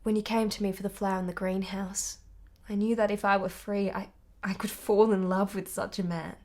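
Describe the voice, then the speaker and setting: An actress plays a passionate young married woman in a period radio play, mid-pitched and articulate. She is candid and intense, with a measured delivery full of yearning. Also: flat delivery, darkish tone, natural voice. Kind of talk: radio drama acting.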